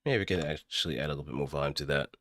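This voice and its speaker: A man's voice: very deep voice, racing, fairly bright tone, no room sound, studio quality. A man streaming casually, talking listeners through his voice acting practice. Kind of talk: casual live stream commentary.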